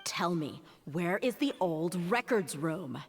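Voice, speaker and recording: A woman's voice: fairly low pitch, big pitch swings, slow pace. A woman playing a stern, commanding young female mercenary in a video game, firm and blunt.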